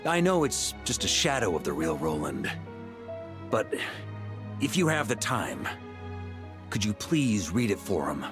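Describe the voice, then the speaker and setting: An actor playing a seasoned, warm-hearted knight grandmaster in a video game, speaking earnestly with a gentle wry touch. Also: male voice, on the low side, sing-song, a little slow, long pauses, over music. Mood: solemn, resolute.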